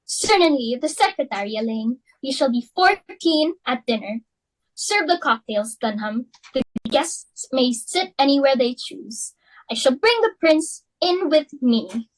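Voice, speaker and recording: A woman's voice: high voice, animated, a little slow, dry recording, low-quality recording. A woman doing a fretful society hostess. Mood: flustered. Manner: theatrical, exclaiming, fretful, melodramatic swings. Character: fussy society hostess, Mrs. Pringle, middle-aged woman. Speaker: student reader. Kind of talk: student dramatic reading.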